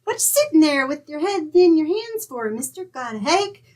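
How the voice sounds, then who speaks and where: A woman's voice: sits high, sing-song, normal speed, a little mellow. A woman playing an eager, chatty little neighborhood girl in a radio comedy, with a childlike wheedling way of asking "mister?". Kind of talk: 1940s radio acting.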